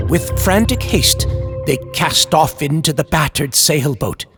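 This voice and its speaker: A man narrating a scripted horror tale like an old-time radio host, theatrical, ominous and drawn-out. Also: on the high side. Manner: ominous, drawn-out, dramatic delivery. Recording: music under the voice.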